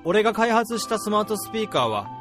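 A man's voice: sits high, big pitch swings, fast, over music. A man playing a blunt, hot-tempered AI developer in a radio drama, with dramatic, emphatic delivery. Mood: reflective. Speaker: lead character and narrator.

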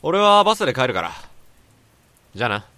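Caring young guy, blunt, teasing, bright voice, animated pitch, radio drama. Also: fairly quick. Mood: probing. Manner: casual, rough-spoken. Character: blunt, teasing but caring male old friend.

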